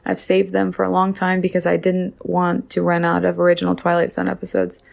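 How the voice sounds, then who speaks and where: A woman speaks in a fairly low register with a strongly creaky edge. She gives casual commentary in a reflective, personal manner, like a listener sharing her own views. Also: completely flat delivery, brisk, a little mellow. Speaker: podcast listener giving episode opinions.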